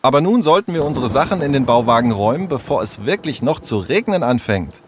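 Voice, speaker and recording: A man's voice: medium pitch, sing-song, fast talker, a little hiss or hum, voice pushed up, natural voice. A man voicing a proud, outspoken boy in a storybook reading.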